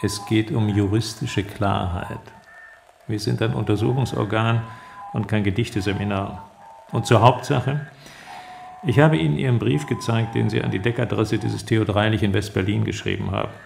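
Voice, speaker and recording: Gravelly, cold state interrogator. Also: male voice, fairly low pitch, fairly even intonation, darkish tone, a little hiss or hum. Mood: cold, menacing. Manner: officious, measured, deliberate. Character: cold, pedantic male East German state interrogator. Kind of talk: radio play interrogation.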